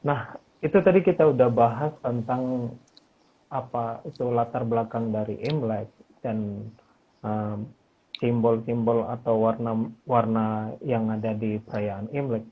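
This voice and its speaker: Husky man over a tinny line. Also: mid-range voice, fairly even intonation, a little slow, pauses often. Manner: polite, says "Pak", many fillers. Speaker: interviewer. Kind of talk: casual interview. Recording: tinny phone-like audio.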